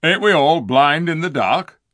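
A man doing a surly, loud-mouthed dwarf. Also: fairly high pitch, lively intonation, a little slow, no room sound, studio quality, voice pushed up. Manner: loud, scolding, sneering. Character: surly gruff male dwarf, rude and scornful.

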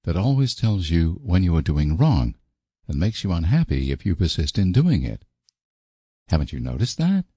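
A man voicing a patient, kindly young woman in a storybook, gently reasoning and soothing as if to a child. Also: low voice, very animated, moderate pace, slightly rough, no echo, studio quality, exaggerated voice. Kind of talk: storybook character voice.

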